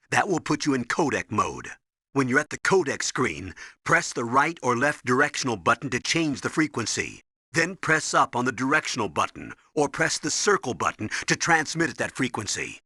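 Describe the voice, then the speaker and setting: An actor playing a firm, commanding military officer in a video game, giving emphatic instructions in a raspy voice. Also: male voice, mid-range voice, sharp tone, dry recording, clean studio sound, exaggerated voice. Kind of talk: video game tutorial voice acting.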